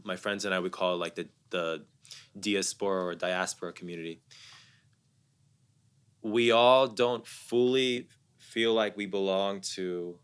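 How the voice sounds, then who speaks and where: Young guy from the us, thoughtful, kinda low and really clean voice, restarts a lot. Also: smooth. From United States. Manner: reflective, soft-spoken, repeats words while thinking. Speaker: guest musician. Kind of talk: casual interview talk.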